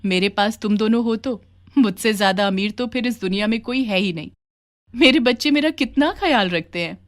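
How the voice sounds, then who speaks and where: A woman's voice: fairly high pitch, moderate pace, natural voice. A woman voicing a kindly, hardworking village mother in a children's story, polite and affectionate. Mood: tender. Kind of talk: acted dialogue in a children's moral story.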